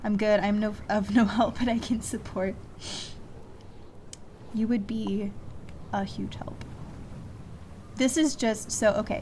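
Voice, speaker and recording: A woman's voice: mid-range voice, darkish tone, slight background noise. A woman streaming a puzzle game with casual commentary, thinking aloud and musing to herself as she plays. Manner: musing to self, reading clues aloud. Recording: music playing behind the voice. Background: game music.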